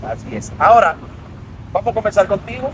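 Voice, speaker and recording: A man hosting an interview, introducing his guest in an animated, promotional way. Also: high-pitched, fairly quick, low-quality recording. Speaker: interview host. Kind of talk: conversational interview. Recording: outdoor traffic noise.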